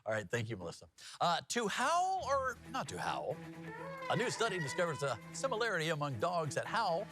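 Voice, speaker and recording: A man's voice: fairly high pitch, huge pitch swings, brisk, music in the background. A man anchoring a TV newscast in a jokey, folksy, conversational way, moving between banter and scripted reads. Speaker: TV news anchor and feature reporter.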